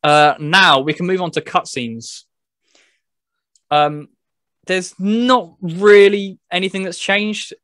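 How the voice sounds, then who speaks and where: A man's voice: sits high, slow pace, pauses often, fairly bright tone, no echo, studio quality. A man hosting a podcast, explaining things in a casual gaming chat in loose, everyday wording. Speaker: podcast host reviewing a video game.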